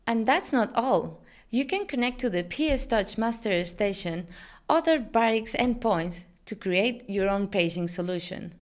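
A woman's voice: medium pitch, animated, unhurried. A woman in her thirties presenting a scripted product tutorial in an upbeat, promotional way. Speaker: company presenter, digital marketing manager.

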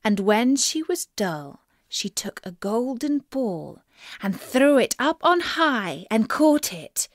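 A woman narrates a children's fairy tale at an unhurried pace. Her pitch moves up and down in a lively, expressive way, and the recording is dry and close. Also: no echo. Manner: expressive, deliberate read-aloud. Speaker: storyteller.

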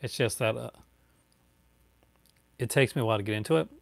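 A man's voice: medium pitch, brisk, gravelly, no room sound. Rambling man with a light Newfoundland accent. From Newfoundland, Canada. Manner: rambling, many fillers and restarts. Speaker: YouTuber answering viewer chat. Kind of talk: casual live-stream chat. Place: home room with bookshelves, indoors.

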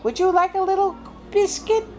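A woman's voice: very high voice, steady tone, normal speed, faint music behind, voice pushed up. A woman voicing a sweet, polite and plucky little storybook girl in a sing-song, wide-eyed way. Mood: kindly scolding.

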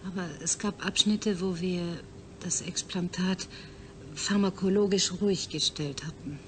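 A woman's voice: lowish voice, measured pace, slight background noise, natural voice. A woman playing a caring scientist who patiently soothes her patient in a radio drama. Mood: soothing. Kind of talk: German radio drama acting.

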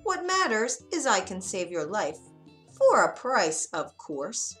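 A woman's voice: mid-range voice, very animated, takes it slowly, a bit bright, soft background music. A woman voicing a grasping little male imp who bargains slyly and smugly. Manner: smug, sly bargaining.

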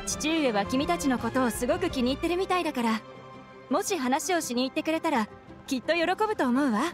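An actress playing a polite, caring young woman in a video game, with a gentle and earnest manner. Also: sits high, expressive, brisk, over music. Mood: hopeful, polite. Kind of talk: Japanese video game voice acting.

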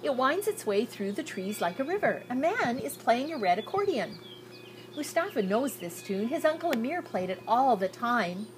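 Older lady reading a kids story outside, really lively up and down voice, bit of background noise. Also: on the high side, normal speed. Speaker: storybook reader. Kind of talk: expressive read-aloud of a children's picture book. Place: outdoors on a garden patio. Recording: outdoor recording with background noise.

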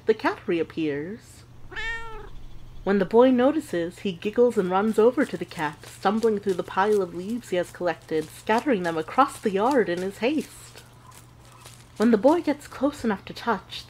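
A woman playing a witch who narrates an audio drama with measured, deliberate storytelling. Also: medium pitch, a little mellow, close to an everyday voice, low-quality recording.

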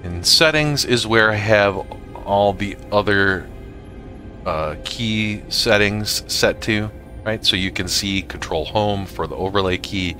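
A man narrating a casual software tutorial for gamers in a halting way, often pausing mid-sentence. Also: fairly low pitch, soft background music. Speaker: gamer making a tutorial video.

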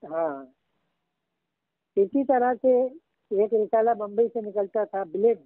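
An older man teaching Urdu literature, slowly and patiently dictating references to a student. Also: sits high, no room sound. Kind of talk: guiding a student over the phone. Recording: tinny phone line.

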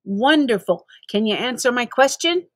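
A woman's voice: on the high side, lively intonation, normal speed, fairly bright tone, no room sound, studio quality. A woman voicing a panicky, exasperated young kid in a storybook, indignant and complaining.

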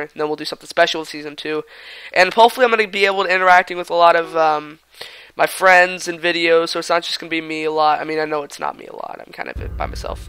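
Raspy young man, excitable gameplay chatter. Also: fairly high pitch, no room sound. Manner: rambling, excitable, mutters and whispers asides. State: raspy from a cold. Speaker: gaming channel host. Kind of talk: casual gameplay commentary.

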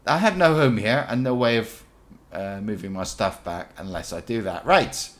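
A man hosting a game playthrough, a bit croaky from illness, rambling and chatty as he thinks aloud. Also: fairly low pitch, moderate pace, a bit bright. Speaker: let's play host. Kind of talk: casual gameplay commentary.

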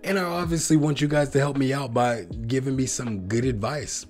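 A man's voice: mid-range voice, fairly even intonation, a little fast, a little mellow, soft background music. An American man in a casual vlog, rambling a bit and talking straight to his viewers, often calling them guys. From United States. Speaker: vlogger. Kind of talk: casual reaction vlog to camera.

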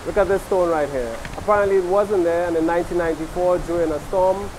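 High-voiced man vlogging a hike. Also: quick pace, noisy background. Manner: relaxed, marveling commentary. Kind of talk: hiking vlog narration. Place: outdoors by a river in nature. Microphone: handheld camera.

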